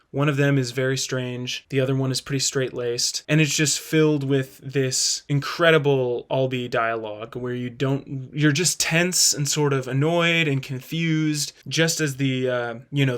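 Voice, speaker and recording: A man's voice: medium pitch, fairly bright tone. A man hosting a casual video review, thinking aloud and hedging his opinions as he goes. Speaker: host.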